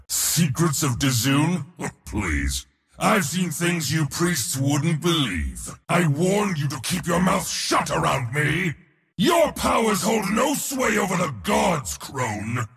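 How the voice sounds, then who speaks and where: A haughty god of war for a video game, his voice very rough and gravelly, ringing with long reverb. He is pompous and mocking, swinging wildly between huge battle bellows and low sneers. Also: fairly bright tone, full character voice. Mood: furious, commanding. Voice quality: raspy, gravelly, powerful. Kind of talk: video game hero voice lines.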